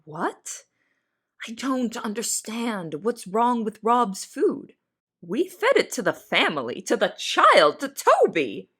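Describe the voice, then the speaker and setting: A woman's voice: fairly high pitch, very animated, slow, a bit bright, breathy edge, exaggerated voice. A woman playing an anxious young cook in an audio drama, narrating and speaking with confessional, dramatic intensity. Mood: alarmed, pleading. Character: anxious young female cook in a big house. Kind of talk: audio drama first-person narration and dialogue.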